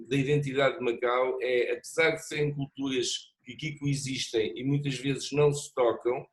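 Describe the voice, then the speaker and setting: Middle-aged man, panel speaker, reflective, hesitant restarts, mid-pitched voice. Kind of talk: thoughtful panel discussion. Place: lives in Macau.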